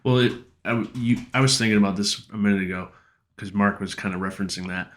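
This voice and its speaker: Man, American accent, fairly low pitch, casual podcast co-host, emphatic advising manner. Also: fast, fairly bright tone. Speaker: podcast co-host giving financial advice.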